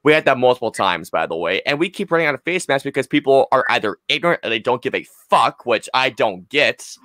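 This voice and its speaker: A man's voice: mid-range voice, lively intonation, fast talker, a bit bright, no room sound. A man in his twenties chatting casually and telling stories in an emphatic, rambling, sweary way, close on a desk mic. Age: 20s. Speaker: podcast co-host. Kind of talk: casual chat, storytelling. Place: small room at home. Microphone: close desk mic on a boom arm.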